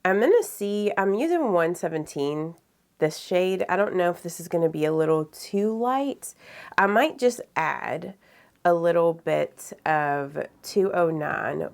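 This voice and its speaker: Low gravelly woman with a head cold. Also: darkish tone. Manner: rambling, many fillers. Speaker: beauty vlogger. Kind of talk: casual get-ready-with-me chat.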